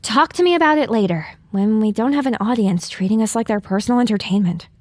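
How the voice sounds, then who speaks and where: A woman's voice: fairly high pitch, quick pace, a little mellow, lightly acted. A woman playing a young, stubborn, idealistic pony heroine in an audio drama, with a sassy but earnest manner.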